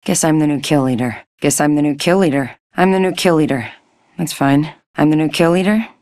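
A woman playing a cool, guarded fighter in a video game, understated and deadpan. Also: deep voice, fairly quick, no echo, clean studio sound.

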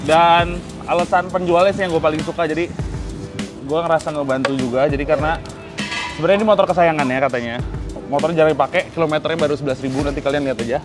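A young man from Indonesia hosting a casual motorbike unboxing vlog, talking in a chatty, excitable way in an outdoor garage yard. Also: a little high, quick pace, over music. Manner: chatty, excitable, swears and laughs. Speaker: motovlog host.

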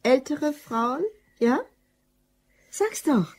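A woman's voice: high voice, lively intonation, extremely slow, darkish tone, full character voice. An actress playing a dutiful female office secretary in a radio crime drama, dry and reserved. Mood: sceptical, dry.

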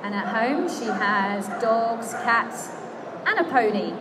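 A woman working as ring announcer in a large indoor exhibition hall, calling out names slowly and clearly over a public-address system. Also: fairly high pitch, lively intonation, over loud music. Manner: slow, declamatory announcing of names. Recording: crowd and hall noise.

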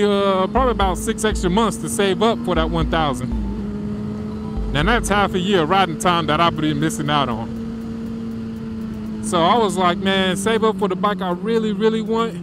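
A man talking casually to viewers while riding a motorcycle on country roads, relaxed and slangy, through a helmet mic. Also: sits high. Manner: laid-back, slangy, addresses viewers directly. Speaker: motovlogger.

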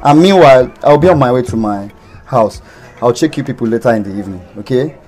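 An actor playing a calm family friend in a film drama, consoling and gently insistent. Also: male voice, mid-range voice, fast talker, faint music behind, lightly acted. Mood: gently advising.

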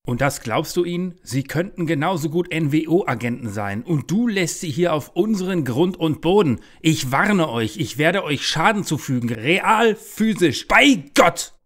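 A man's voice: a little high, expressive, unhurried, hardly any pauses, a bit bright. A man playing a paranoid, agitated adult son obsessed with conspiracies in a parody detective audio play. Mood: threatening. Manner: agitated, overblown, threatening.

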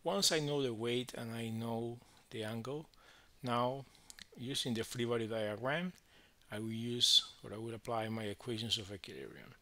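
Guy teaching physics step by step, really bright forceful voice, bit gravelly, takes it slow. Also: medium pitch. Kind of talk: step-by-step worked problem explanation.

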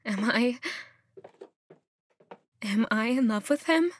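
A woman's voice: on the high side, animated, slow, slight background noise. An actress playing a lovestruck, self-doubting young woman in an audio drama, speaking hesitantly and musingly. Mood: timid, unsure. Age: young adult. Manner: hesitant, musing, questioning herself. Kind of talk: audio drama acting, introspective monologue.

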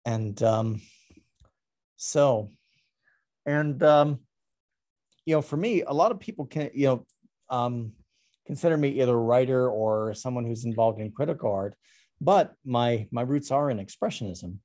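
A middle-aged man talking casually about his artwork on a video call, halting with many ums and restarts. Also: medium pitch, darkish tone. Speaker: artist presenting his work. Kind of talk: casual talk over a slide presentation.

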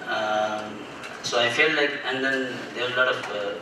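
A man's voice: medium pitch, moderate pace. A man, speaking hesitantly as a photographer on a panel. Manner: hesitant, rephrases often. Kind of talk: unscripted panel talk. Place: dim auditorium with seated audience. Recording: echoey noisy hall, far from mic.

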